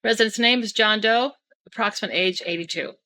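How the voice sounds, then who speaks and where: A woman's voice: medium pitch, brisk, sharp tone, dry recording. A woman giving scripted emergency reports as an area radio communicator in a drill.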